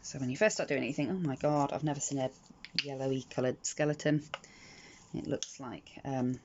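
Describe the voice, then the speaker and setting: A British woman sounding croaky in the morning, chatting her way through a craft tutorial with frequent ums and restarts. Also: very deep voice, fairly even intonation, fairly quick. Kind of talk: casual step-by-step craft tutorial. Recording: slightly dull lo-fi audio with faint noise.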